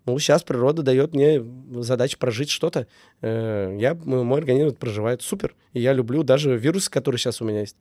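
Fast-talking man, emphatic and mellow. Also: mid-range voice, no echo, studio quality. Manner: emphatic, repeats phrases in lists. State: getting over a cold. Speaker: interview guest. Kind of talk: casual reflective talk on relationships.

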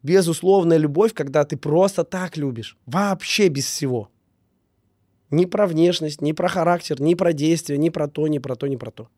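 A man's voice: a little high, moderate pace, a little mellow, dry recording, studio quality. A man with a slight cold speaking casually and reflectively as an interview guest, emphatic, repeating phrases in lists. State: getting over a cold. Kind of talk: casual reflective talk on relationships.

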